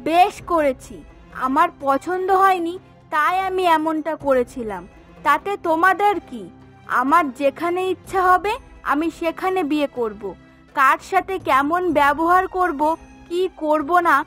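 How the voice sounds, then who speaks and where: A woman voicing a bad-tempered, sharp-tongued young adult daughter in a cartoon, accusing and indignant. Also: extremely high pitch, heavily acted. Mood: defiant. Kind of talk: Bengali cartoon voice acting. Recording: music behind the voice.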